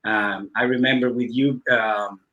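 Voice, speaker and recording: A man from Ecuador who grew up in Cuba and speaks English. He answers in an earnest, halting way, with many fillers, over a video call. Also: mid-range voice, steady tone, pushed and bright, highs cut off. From Ecuador, raised in Cuba. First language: Spanish. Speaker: guest musician. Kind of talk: conversational interview answer.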